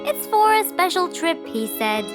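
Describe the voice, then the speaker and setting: Woman doing a very high-pitched, earnest cartoon critter. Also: very animated, moderate pace, over music. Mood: earnest explaining. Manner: earnest, pleading, polite. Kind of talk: children's cartoon voice acting.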